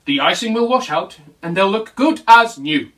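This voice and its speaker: A man's voice: sits high, animated, normal speed, a bit bright. A man voicing a kindly, reassuring old bear in a children's bedtime story. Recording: voice distant from the mic.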